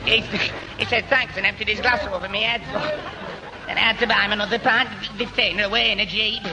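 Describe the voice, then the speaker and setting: A man's voice: sits high, fairly even intonation, fast talker, a crowd in the background, noisy background. A man playing a dim, nervous teenage lad with a Northern English accent, stammering and rambling in 1960s radio comedy. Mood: flustered, rambling. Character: dim, nervous, flustered teenage pal of schoolboy.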